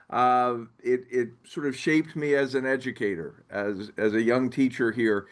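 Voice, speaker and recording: Male school head, middle-aged, medium pitch, measured and reflective with halting phrasing. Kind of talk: reflective webinar talk.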